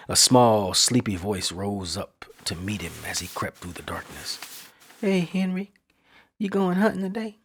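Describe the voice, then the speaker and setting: Low husky man telling a spooky tale. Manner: slow, hushed, dramatic reading. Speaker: podcast host and storyteller. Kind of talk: spooky storytelling narration. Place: studio.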